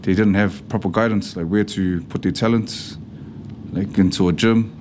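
Hesitant mid-thirties New fighter. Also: male voice, lowish voice, fairly even intonation, a little mellow. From Samoa. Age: 30s. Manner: halting, with many fillers and restarts. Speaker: pro fighter. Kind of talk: documentary interview. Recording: noisy background ambience.

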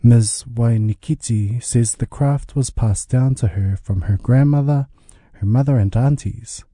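A man's voice: mid-range voice, measured pace, mellow, dry recording, studio quality. A man reading a scripted radio news report as the reporter.

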